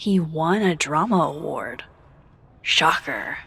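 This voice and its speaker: A woman's voice: deep voice, lively intonation, slow, creaky, a bit bright, breathy edge, full character voice. An actress playing a dry-witted, introspective young woman in a naturalistic video game, speaking in an understated, wry, hesitant way. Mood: musing, curious.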